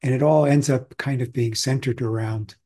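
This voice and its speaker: A man from Austria, a meditation teacher, giving a calm, reflective and conversational Zen dharma talk. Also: medium pitch, normal speed.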